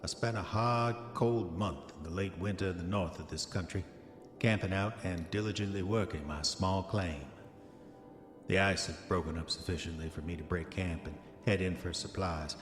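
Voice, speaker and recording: A man's voice: low-pitched, normal speed, natural voice. An actor playing a haunted, hot-tempered prospector confessing in his diary, with formal old-fashioned, brooding delivery in a horror audio drama. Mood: solemn, recounting. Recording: echoey voice with long reverb, music behind. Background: music.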